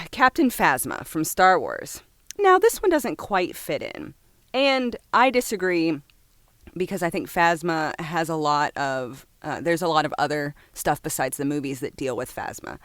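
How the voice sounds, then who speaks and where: Animated low-voiced woman, casual and opinionated. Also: dry recording. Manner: opinionated asides, informal, swearing. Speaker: reviewer reading a comics top-ten list. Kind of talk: casual list commentary, partly read from a script.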